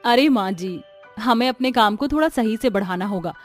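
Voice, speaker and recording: A woman plays a cheerful, resourceful young daughter-in-law in a cartoon story. She talks in a brisk, encouraging way with a high-set voice, and faint music sits behind her. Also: animated, close to an everyday voice. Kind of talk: animated storybook voice acting.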